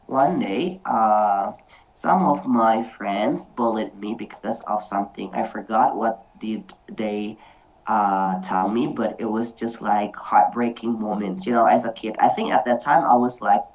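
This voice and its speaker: Very low-voiced woman moderating slowly. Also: a little mellow, rough recording. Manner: hesitant, many fillers and restarts. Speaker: meeting moderator. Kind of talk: online group discussion, personal storytelling. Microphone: video call.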